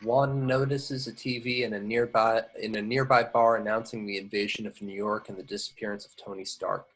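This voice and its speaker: A man's voice: fairly low pitch, level tone, a little fast, fairly bright tone, slightly rough, low-quality recording. A man reading screenplay action lines at a table read, matter-of-fact with the odd stumble. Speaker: stage-direction reader.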